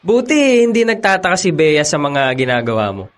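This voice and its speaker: A man's voice: on the high side, very animated, brisk. An actor playing a young male college friend who teases and laughs along with his buddy. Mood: teasing. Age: young adult. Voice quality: teasing, laughing often. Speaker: actor in a radio drama. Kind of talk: radio drama acting.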